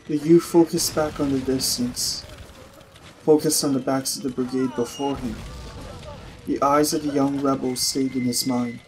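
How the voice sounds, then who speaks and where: A man's voice: mid-range voice, steady tone, moderate pace, slight background noise, rough recording. A man reading a story aloud as an audiobook narrator, in dramatic scripted narration.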